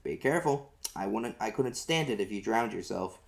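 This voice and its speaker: A man's voice: lowish voice, expressive, normal speed, creaky. A man voicing a listless father with a heavy, resigned manner. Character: listless, gloomy father on the couch.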